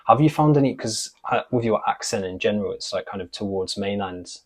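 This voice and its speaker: A male host leads the interview, piling on curious follow-up questions. His voice sits somewhat low for a man. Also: expressive, fairly quick, a little mellow. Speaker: host, Mandarin teacher. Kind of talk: interviewing a guest.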